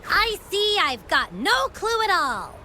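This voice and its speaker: A woman voicing a curious, bubbly young companion in a video game, expressive and often musing aloud. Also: extremely high pitch, slow pace, slight background noise, exaggerated voice. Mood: puzzled, playful. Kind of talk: animated game dialogue acting.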